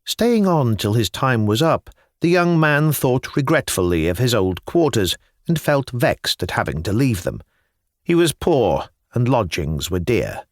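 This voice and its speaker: Man, audiobook narration, literary fiction, mid-pitched voice, lively varied intonation, measured phrasing, dry acoustic. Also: no echo.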